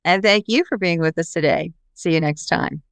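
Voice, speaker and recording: A woman's voice: on the low side, normal speed, clean tone, no echo. A woman hosting a podcast, talking casually as she interviews a guest.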